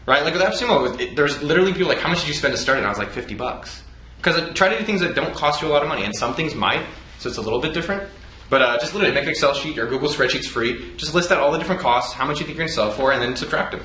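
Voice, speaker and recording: A man's voice: on the high side, animated, bright, forceful tone. A man hosting a casual chat and giving startup advice, loose and swearing freely. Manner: fast, loose, swears freely, laughs often. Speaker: host. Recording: echoey room with faint noise.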